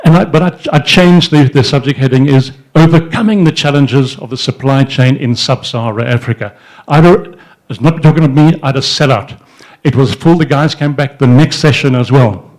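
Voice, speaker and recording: A man's voice: medium pitch, brisk. Older man rambling into a handheld mic. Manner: rambling, storytelling with frequent restarts and fillers; gestures with his free hand while he talks. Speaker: entrepreneur speaking at a society event. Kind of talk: informal speech to an audience. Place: indoor event room in front of a banner. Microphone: handheld microphone.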